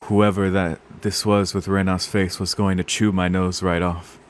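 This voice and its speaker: A man's voice: deep voice, little pitch movement, normal speed. A man streaming a visual novel, reading the narration aloud with dramatic, casual, sweary reactions. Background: game music.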